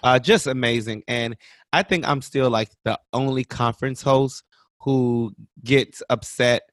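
A man's voice: medium pitch, unhurried, dry recording. Male podcast co-host, mid-range voice, dry close mic, casual and playful.

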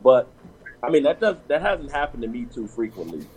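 A man's voice: mid-range voice, very animated, a little fast, darkish tone, slightly rough, rough recording. A man on a discussion panel talking casually and telling personal stories, with lots of restarts and "you know what I'm saying.".

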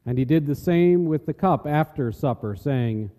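A man's voice: a little high, expressive, moderate pace, dark tone. A man narrating scripture from a script as part of a dramatic reading. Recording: echoey hall with long reverb.

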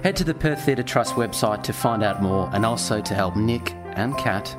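A man's voice: lowish voice, lively intonation, moderate pace. A man with an Australian accent hosting a podcast, putting questions and giving sign-offs in a presenter's style. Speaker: podcast host, interviewer. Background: music.

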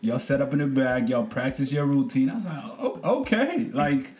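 A man hosting a casual interview, relaxed and conversational, with frequent hesitations. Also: medium pitch, moderate pace. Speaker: interview host.

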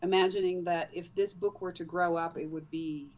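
A fairly low-voiced woman from the Netherlands, speaking with a light Dutch accent as a guest author. She talks in a chatty, animated way and laughs easily. The sound is a bit dull and lo-fi. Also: moderate pace. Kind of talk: casual interview.

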